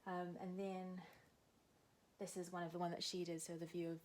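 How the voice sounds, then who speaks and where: Kiwi woman showing an art lesson, lowish voice, chatty with lots of ums, goes soft and quiet while drawing. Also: little pitch movement, moderate pace, slight background noise. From New Zealand. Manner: casual, many fillers, talks while drawing, often drops to a soft low voice. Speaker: art tutor. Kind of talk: art demonstration tutorial.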